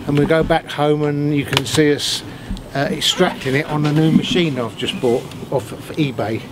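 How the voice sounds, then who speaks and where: A man's voice: fairly high pitch, fairly even intonation, moderate pace. Middle aged guy showing his beekeeping, chatty explaining, outside w bees and birds pretty noticeable. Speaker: beekeeper demonstrating his work. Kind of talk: casual hands-on explanation. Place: outdoors in a wooded apiary. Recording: clear outdoor noise, insects and birds. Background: buzzing bees and birdsong.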